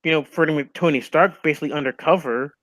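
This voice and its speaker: A man's voice: on the high side, fast talker, fairly bright tone, dry recording. A young man chatting casually and with strong opinions as a stream co-host, full of "like" fillers. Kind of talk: casual chat over a call.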